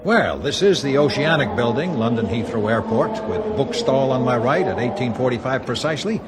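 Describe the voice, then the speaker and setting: A man playing a genial, smooth-talking American sales executive in a radio drama, effusive and formally courteous. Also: medium pitch, lively intonation, a little fast, heavy background noise, natural voice. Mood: affable.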